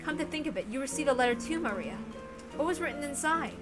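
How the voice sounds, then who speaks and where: A woman's voice: high voice, lively intonation, fast talker, loud music behind the voice, voice pushed up. A woman voicing a tense, anxious and secretive mother, hesitant and self-questioning, in a visual novel read aloud. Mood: uneasy. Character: anxious, secretive adult mother, quick to scold.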